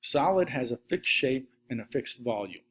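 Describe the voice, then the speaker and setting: A man's voice: mid-range voice, normal speed. A middle-aged man, a science teacher giving a recorded slide lesson at his desk webcam, steadily reading through lists. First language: Latvian. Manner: steady list-reading delivery.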